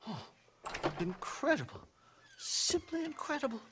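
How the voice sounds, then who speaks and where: A man playing a nervous, pompous, eccentric professor in a video game, stammering and excitable in a condescending way. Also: extremely high pitch, measured pace, very noisy, full character voice. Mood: eager, rambling.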